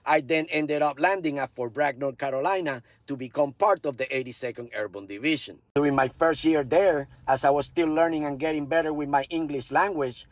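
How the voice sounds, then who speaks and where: A man in his fifties with a Puerto Rican Spanish accent tells his own story in a blunt, cursing style, dropping Spanish asides along the way. His voice sits somewhat high for a man. The sound is dry, with no room echo. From Puerto Rico. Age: 50s. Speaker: veteran storyteller. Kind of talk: personal storytelling monologue.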